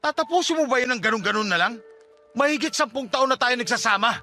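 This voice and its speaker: High, tense, hurt estranged husband. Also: male voice, animated, fast talker, bright, forceful tone, soft background music, heavily acted. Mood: hurt, indignant. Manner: earnest, insistent, accusing. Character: hurt, pleading, jealous estranged husband and father. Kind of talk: Tagalog radio drama acting.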